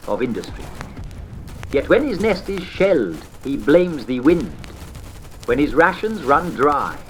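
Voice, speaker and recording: Vintage propaganda broadcaster, played by a man: avuncular, mock-genteel, patriotic, very animated intonation, clear background noise. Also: fairly high pitch. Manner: theatrical, mock-genteel, deliberate pauses. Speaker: radio host. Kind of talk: vintage radio propaganda narration.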